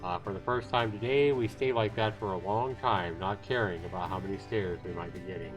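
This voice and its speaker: A man's voice: deep voice, fairly quick, low-quality recording. A man livestreaming a visual novel, reading the narration aloud casually and sometimes stumbling and correcting himself. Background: game music with guitar.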